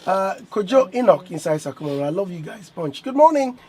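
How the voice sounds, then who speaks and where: A man's voice: high voice, very animated, a little fast, a little mellow, breathy edge. A man hosting a morning radio show, playful, reading out listener messages to his guest. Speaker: radio host.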